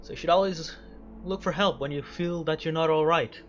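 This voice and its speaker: A man's voice: a little high, fairly quick, highs cut off, faint music behind. A man hosting a casual game playthrough, talking reflectively and often repeating his phrases as he goes. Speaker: gaming video host.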